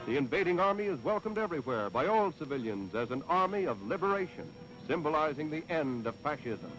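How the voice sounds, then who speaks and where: A man's voice: a little high, sing-song, a little fast, rough recording. An American man narrating a scripted 1940s wartime newsreel in a dramatic, declamatory, patriotic manner. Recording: vintage 1940s newsreel soundtrack with music.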